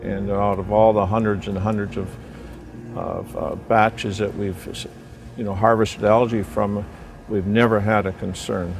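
A man in his sixties speaks haltingly in an outdoor interview. His low voice is gravelly and flat in pitch. Music plays clearly beneath him. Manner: hesitant, halting with fillers. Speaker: sea farm owner. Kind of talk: documentary interview. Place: outdoors on a deck by the sea. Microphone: lapel.

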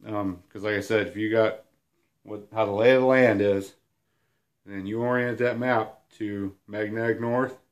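A man in his thirties, hoarse and sniffling from a cold, giving a rambling, self-deprecating whiteboard lesson at home. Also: lowish voice, steady tone, measured pace. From Illinois. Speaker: outdoors channel host. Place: small room at home, indoors.

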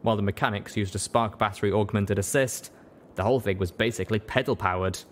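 A man's voice: fairly low pitch, fast. A man reading scripted story narration as an audiobook narrator. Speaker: audiobook reader.

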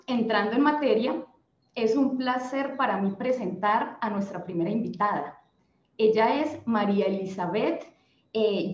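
A young adult woman with a Colombian accent, moderating a panel and introducing a guest over a video call. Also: a little high. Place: office room, indoors. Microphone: laptop webcam on video call. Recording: echoey room, dull lo-fi sound.

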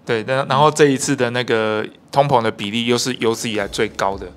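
A man's voice: medium pitch, fast, a little hiss or hum. A man in his thirties with a Taiwanese Mandarin accent, hosting an interview and explaining the guest's points to viewers. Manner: fluent, explanatory, with sentence-final particles. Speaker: host. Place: bright office room. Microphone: handheld mic.

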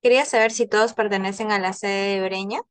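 A woman's voice: mid-range voice, fairly even intonation, brisk, smooth. A young woman with a Peruvian accent, moderating a structured focus group on a video call. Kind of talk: structured group discussion, introducing questions.

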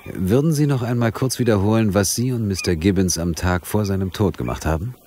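An actor playing a skeptical, probing police investigator in a crime audio drama, with a dry manner. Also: male voice, on the low side, fairly quick, mellow, a little hiss or hum, lightly acted. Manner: dry, questioning.

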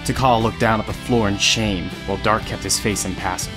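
A man narrates an audio drama from a script. His voice sits on the low side, with music playing softly beneath it. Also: steady tone, brisk.

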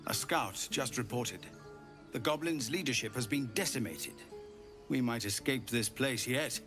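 An actor playing a weary, formal leader of refugees who thanks the hero. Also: male voice, medium pitch, animated, a little slow, music in the background, close to an everyday voice. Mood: grateful. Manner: earnest, formal, grateful.

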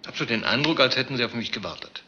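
An actor playing a suspicious, sardonic police detective in a radio crime drama, asking pointed, persistent questions. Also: male voice, mid-range voice, animated, fast, sharp tone, lo-fi sound. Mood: suspicious, probing. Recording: faint background noise.

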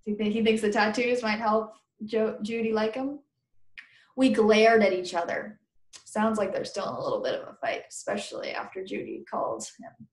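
An American woman in her thirties reading a children's book aloud as a teacher, pausing to explain and ask listeners questions in simple words. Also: mid-range voice. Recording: roomy, echoing webcam recording.

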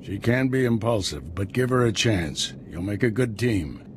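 A man playing a low, composed Jedi mentor. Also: normal speed, slight background noise, lightly acted. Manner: calm, authoritative. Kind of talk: video game character acting.

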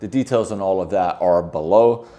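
A middle-aged man with a somewhat low-pitched voice speaks casually to camera like a hobby reviewer. His delivery is conversational and unscripted, picked up indoors by a small clip-on mic. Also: moderate pace, dark tone. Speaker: film photography vlogger. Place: indoor living-room style office, seated on a sofa.

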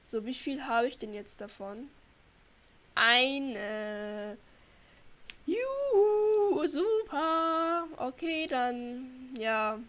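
A young woman with a cold, chatting to her viewers in a relaxed, rambling way while she plays. Her voice sits a little high, and she takes her time with each thought. She talks into a desk mic from home. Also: very slow. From Germany. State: has a cold. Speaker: gaming channel host. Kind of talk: casual gameplay commentary. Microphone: desk microphone.

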